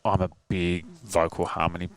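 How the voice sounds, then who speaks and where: A man with a very deep voice, a singer-songwriter guest telling a story in a casual interview, earnest and rambling with many fillers and restarts. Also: flat delivery, moderate pace.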